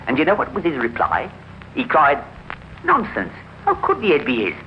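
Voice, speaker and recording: Suspicious formal gentleman in old radio drama, lively pitch swings, dull hissy sound. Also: normal speed. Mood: resolute. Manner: formal, probing, insistent. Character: suspicious, determined gentleman investigating a friend's disappearance. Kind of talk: 1950s radio crime drama acting.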